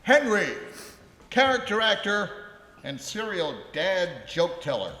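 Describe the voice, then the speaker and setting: A man playing a theatrical 1920s actor who narrates a comic live radio play in a declamatory way with deliberate pauses. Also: sits high, very animated, sharp tone, heavily acted. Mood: mock-grand. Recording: live in echoey ballroom, long reverb.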